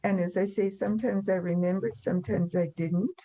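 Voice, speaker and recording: A woman's voice: medium pitch, fairly even intonation, a little fast, dark tone, muffled recording. An older woman in her seventies or beyond, telling her personal story candidly in an interview in a plain-spoken, matter-of-fact way. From born in France, emigrated after the war. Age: 70s or older.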